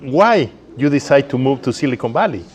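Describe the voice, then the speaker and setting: A man's voice: medium pitch, lively intonation, brisk. Non-native English-speaking male interview host. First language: not English. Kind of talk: casual live interview. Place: community tech workspace, live event.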